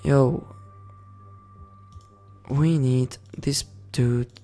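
Dude playing a game at his desk, slow drawl, yells and swears a lot, faint music under it. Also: mid-range voice. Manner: excitable, swears, shouts at units. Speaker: gaming channel host. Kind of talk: casual gameplay commentary.

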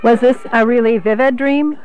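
A woman's voice: a little high, fairly even intonation, moderate pace, clear, resonant tone, dull audio, natural voice. A woman acting in a radio play as a formal, insistent saleswoman and interrogator with stilted phrasing. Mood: probing. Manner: formal, stilted, avoiding contractions.

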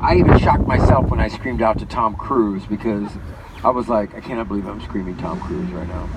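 Loud, chatty tour guide man. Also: fairly low pitch, fairly quick. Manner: chatty, addresses listeners directly. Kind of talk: live guided tour commentary. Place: outdoors on a moving tour vehicle. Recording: distant, muffled mic with loud wind noise.

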